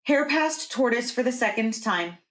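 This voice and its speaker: A woman's voice: on the high side, expressive, normal speed, a bit bright. A woman reading a picture book aloud to young children as a preschool teacher.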